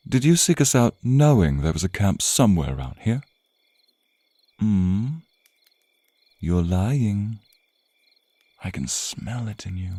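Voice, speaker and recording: A man playing a suave, menacing, teasing vampire captor in an intimate ASMR roleplay, with theatrical, purring, drawn-out phrasing. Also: sits low, mellow, dry recording, full character voice. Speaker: roleplay voice performer.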